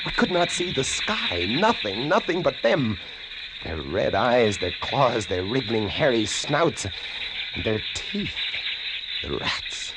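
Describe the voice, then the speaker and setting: A haunted lighthouse keeper, theatrically expressive. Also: male voice, mid-range voice, slow, heavy background noise. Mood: horrified, hushed. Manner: theatrical, savoring gruesome details. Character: haunted male lighthouse keeper recounting a horror. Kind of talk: vintage radio horror acting, first-person narration. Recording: vintage radio drama with music behind.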